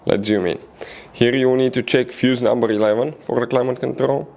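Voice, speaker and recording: A man's voice: mid-range voice, fairly even intonation, a little fast, gravelly, a little mellow. A man hosting a car repair tutorial, giving step-by-step how-to narration and often addressing viewers as guys. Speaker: car repair video host.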